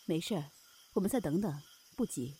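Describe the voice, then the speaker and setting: A woman playing the calm, commanding, teasing boss of a supernatural inn in an audio drama. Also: lowish voice, brisk, a little hiss or hum, close to an everyday voice.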